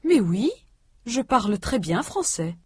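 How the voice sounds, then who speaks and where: Slow, careful woman narrating a lesson. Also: mid-range voice, big pitch swings, slightly rough, no echo, studio quality. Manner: slow, careful, precise enunciation. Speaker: language course narrator. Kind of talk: scripted instructional reading.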